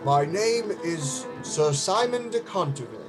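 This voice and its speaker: Casting: a haughty, sorrowful centuries-old noble ghost for radio drama, high voice with lively swoops, fussy and pompous. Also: male voice, measured pace, over music. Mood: indignant, pompous. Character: proud, mournful centuries-old male English aristocrat ghost. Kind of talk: theatrical radio play acting.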